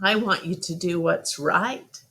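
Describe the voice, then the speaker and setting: A woman reading the part of a stern, principled country father in a storybook, sharp and admonishing in folksy phrases. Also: on the low side, measured pace. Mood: firm.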